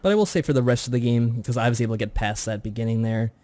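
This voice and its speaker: A man co-hosting a casual review chat, talking in a measured, mild-mannered way. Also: medium pitch, mellow, no echo. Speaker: podcast co-host.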